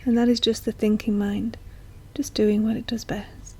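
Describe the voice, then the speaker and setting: Soft, husky woman guiding a slow meditation. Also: medium pitch, level tone. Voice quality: soft, breathy, often near a whisper. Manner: gentle, soothing, unhurried with long pauses. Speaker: guided meditation teacher. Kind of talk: slow guided meditation narration.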